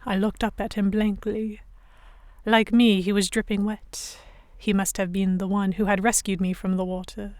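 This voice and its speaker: A woman playing a young Victorian noblewoman captive, narrating her story in a measured, literary first person. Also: mid-range voice, little pitch movement, a little mellow, dry recording, close to an everyday voice. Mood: dazed, reflective. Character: young English noblewoman captive, reflective, stubborn, Victorian era. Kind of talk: first-person scripted narration in an audio drama.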